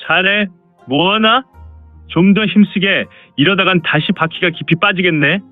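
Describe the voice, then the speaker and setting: A man's voice: high-pitched, measured pace, no echo, phone-quality sound. A man playing a good-natured, teasing caravan escort chief with bossy, old-fashioned speech in a martial arts audio drama. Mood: bossy, encouraging. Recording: dry, band-limited, music underneath.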